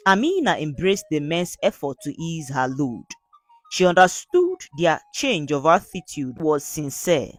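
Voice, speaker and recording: A woman narrating a scripted folktale in an earnest, measured storybook manner. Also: low-pitched.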